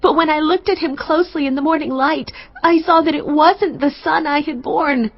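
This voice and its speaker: A woman's voice: high voice, normal speed. A woman acting an emotional, imploring young mother in an audio Bible drama, pleading her case against a rival. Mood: aggrieved pleading. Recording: slightly dull, band-limited audio.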